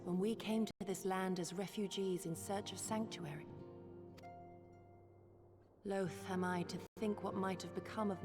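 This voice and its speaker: A woman playing a dignified, solemn warrior lady in a fantasy game, speaking in formal, archaic and measured phrases. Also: medium pitch, long reverb tail, close to an everyday voice. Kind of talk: fantasy video game voice acting.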